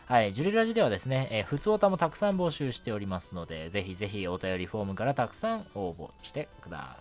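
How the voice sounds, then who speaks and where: Male radio host, casual and hesitant, fairly low, very varied intonation, faint music behind. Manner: chuckly, hesitant, easygoing. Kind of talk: casual listener-mail radio talk.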